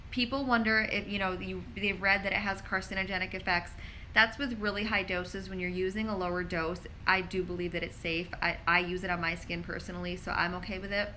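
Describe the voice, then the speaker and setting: Bright chatty woman doing a live q&a on her phone, lots of "like", bit of faint hiss. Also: fairly low pitch. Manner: chatty, frequent "like" fillers, reads questions aloud. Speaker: pharmacist answering viewer questions. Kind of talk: casual live Q&A. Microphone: phone held in hand.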